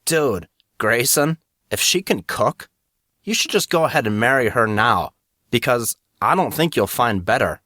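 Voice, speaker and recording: A man's voice: medium pitch, expressive, unhurried, bright, forceful tone, dry recording, studio quality. A man voicing a brash, incredulous teenage schoolboy with slangy, casual talk in an audiobook. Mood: teasing, impressed.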